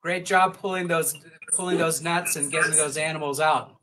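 Bright, forceful man with halting delivery. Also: a little high, fairly even intonation, a little hiss or hum. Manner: halting, often repeating words. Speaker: director of ship operations. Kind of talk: casual live update. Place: hotel room. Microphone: webcam on a video call.

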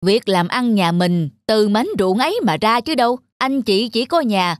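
A woman's voice: mid-range voice, lively intonation, normal speed, no room sound, studio quality, natural voice. Bossy, selfish elder brother voiced by a woman. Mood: smug, insistent. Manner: pushy, self-justifying. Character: selfish, bossy older brother dividing an inheritance. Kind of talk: children's folk-tale cartoon dubbing. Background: background music.

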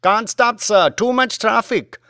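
A man's voice: high voice, a little slow, sharp tone, no echo, clean studio sound, voice pushed up, heavily acted. A man playing a chatty, proud Indian chauffeur with an Indian accent, deferential and insistent in an audiobook. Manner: deferential, insistent, non-native grammar.

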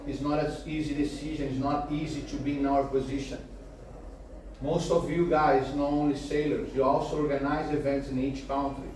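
Portuguese-native guy addressing a room, kinda high voice, very echoey, mic far off. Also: darkish tone, slight background noise. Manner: apologetic, earnest, halting with restarts. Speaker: race organizer. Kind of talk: addressing a seminar audience. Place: meeting room with large windows. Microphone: far camera.

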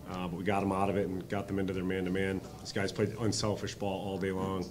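Man, head coach, press conference, deep voice, monotone, halting, table mic, background rumble. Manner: halting, many ums and uhs, earnest. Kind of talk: postgame press conference answers. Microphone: table mic on stand.

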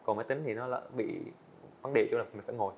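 A young man talking quickly and earnestly with a middle-pitched voice, trying out his sentences again as he goes. The recording sounds a little dull and lo-fi, like a podcast studio set. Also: a little hiss or hum. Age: young adult. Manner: earnest, fast-talking, frequent small restarts. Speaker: student animator guest. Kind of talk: casual interview answers.

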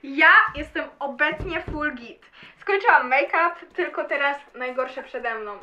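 A woman's voice: sits high, a little slow, pushed and bright. A young woman playing a bubbly, easygoing party girl in an amateur radio drama, chatting in casual slang. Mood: cheerful, easygoing. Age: young adult. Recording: slightly dull, faintly noisy, clicky recording.

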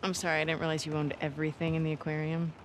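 A woman's voice: low voice, completely flat delivery, racing, slight background noise, natural voice. An actress playing a tough, guarded young female soldier in a game cutscene, speaking tersely and naturally. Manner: terse, blunt.

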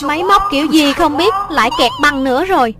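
A woman dubbing characters in an animated children's cartoon. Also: sits high, fairly quick, close to an everyday voice. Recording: loud music behind. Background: loud music.

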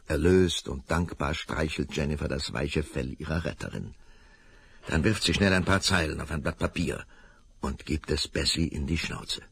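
A man's voice: very deep voice, normal speed, gravelly, fairly bright tone, slightly rough, no echo. A man narrating a children's radio play, telling the story vividly and dramatically.

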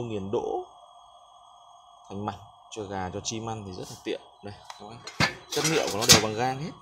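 A man with a Northern Vietnamese accent giving an informal, halting hands-on product demonstration as a seller, often repeating words. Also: mid-range voice. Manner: halting, repeats words while handling parts. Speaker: machine seller demonstrating grinder parts. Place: hard concrete floor workspace. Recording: loud clanking metal noise, handheld phone. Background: metal parts clinking and clattering.

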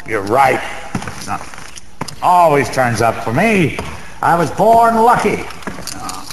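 An actor playing a boozy, sentimental, boastful small-time gambler in a radio drama, rambling in old-fashioned street slang with a gravelly voice. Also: male voice, medium pitch, sing-song, slow pace. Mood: hungover bravado. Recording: faint background noise.

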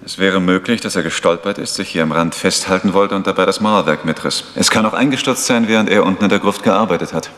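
An actor playing a brisk, businesslike police inspector in a German radio crime drama, official and matter-of-fact. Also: male voice, sits low, a bit bright. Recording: long reverberant hall.

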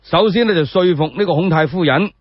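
A man narrates a historical story solo, in a colloquial, expressive radio-drama style. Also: fairly high pitch, fairly quick, dry recording. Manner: colloquial, expressive, with frequent spoken particles. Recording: dry, dull lo-fi radio-style recording.

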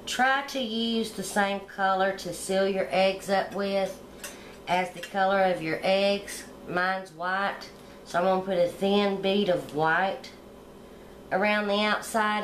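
Slow, homey woman explaining cake decorating. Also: medium pitch, echoey room, a little hiss or hum. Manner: relaxed, homey, step-by-step explaining. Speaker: cooking channel host. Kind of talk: hands-on decorating tutorial.

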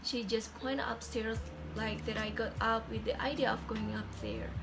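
Young woman playing plain-spoken farmer, higher-pitched, music behind. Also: fairly even intonation, normal speed, creaky. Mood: earnest recounting. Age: young adult. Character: Lewis Hale, plain-spoken farmer man recounting what he saw. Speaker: student actor in a class play. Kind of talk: amateur stage-play acting, recited monologue. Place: indoors, small plain room.

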